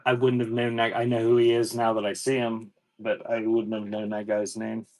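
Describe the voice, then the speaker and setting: A man with a fairly low voice hosts a casual live selling stream. He rambles informally, thinking out loud and letting sentences trail off before picking up again. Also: monotone, moderate pace, poor audio. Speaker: live online auction host selling cassette tapes. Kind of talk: casual rambling livestream chat.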